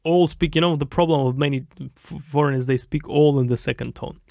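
Russian-accented man, fairly high voice, casual, laughs a lot, gropes for words, dry close mic. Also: a little fast, gravelly, dark tone, dry recording. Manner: laughs often, searches for words, frequent uh-huhs. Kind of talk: casual chat.

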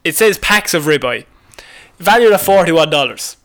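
A man with an Irish accent and a notably high voice co-hosts a comedy podcast. His delivery is casual and jokey, and he laughs readily as he chats through odd news. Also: lively intonation, normal speed, fairly bright tone. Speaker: podcast co-host.

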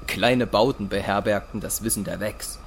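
A man's voice: lowish voice, moderate pace, slight background noise. A man giving scripted narration for a science fiction audio drama. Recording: music behind the voice.

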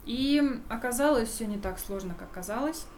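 A woman's voice: a little high, normal speed, a little hiss or hum. A woman guest on a podcast, an embroiderer and shop owner, chatting casually and laughing often as she tells stories. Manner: lively storyteller, laughs often.

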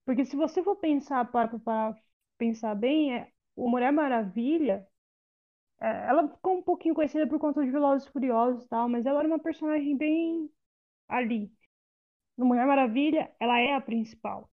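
A woman's voice: high-pitched, normal speed, narrow, tinny audio. A woman in a casual film chat, talking through her opinions with many restarts and fillers.

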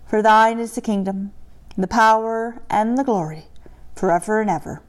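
Smooth-voiced woman leading a prayer. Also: a little high, moderate pace. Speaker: prayer leader.

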